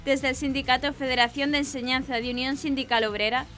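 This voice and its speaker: Woman newsreader with a high voice. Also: flat delivery, fairly quick, a bit bright, soft background music. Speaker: news presenter. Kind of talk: scripted news narration.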